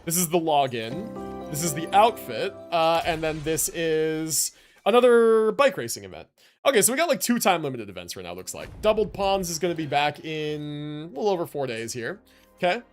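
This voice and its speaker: A man's voice: fairly high pitch, very animated, moderate pace. A man hosting a game livestream with casual, slangy, enthusiastic commentary and the odd swear. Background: video game music and character voices.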